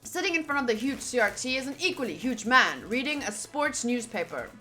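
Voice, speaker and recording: A woman's voice: on the high side, big pitch swings, fairly quick, pushed and bright, faint music behind. A woman game streamer reading game text aloud and chatting informally with casual asides.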